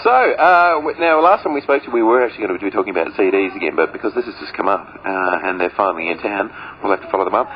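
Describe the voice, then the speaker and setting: A man's voice: lowish voice, lively intonation, rapid-fire. A man hosting a casual, chatty radio interview in an enthusiastic, joking manner. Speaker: radio host. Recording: dull lo-fi radio audio with noise.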